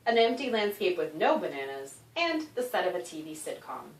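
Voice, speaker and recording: A woman author reading a children's story aloud in an expressive, animated narration with dramatic emphasis. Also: fairly low pitch, normal speed. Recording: roomy echo, distant microphone.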